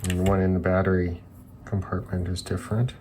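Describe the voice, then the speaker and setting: A man hosting an electronics teardown video, talking casually and unscripted, haltingly thinking aloud as he examines parts. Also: deep voice, little pitch movement, noisy background. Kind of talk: casual unscripted hands-on demonstration talk.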